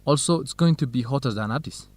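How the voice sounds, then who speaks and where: An actor playing a helpful, knowledgeable young researcher, explaining things in simple sentences in an educational radio drama. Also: male voice, medium pitch, fast talker, a little mellow, natural voice. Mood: informative.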